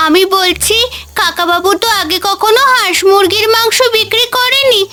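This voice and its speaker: Squeaky, very high little girl, earnest, cartoon. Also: pushed and bright. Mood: worried. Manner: earnest, quick to worry. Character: curious, suspicious little village girl. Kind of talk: Bengali cartoon voice acting.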